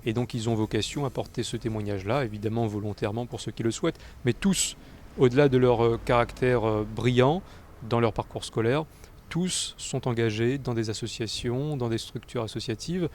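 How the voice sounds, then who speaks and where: A man's voice: medium pitch, darkish tone, slight background noise. A man speaking as a local official in an interview, earnest and emphatic with some hesitations. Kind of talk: interview statement.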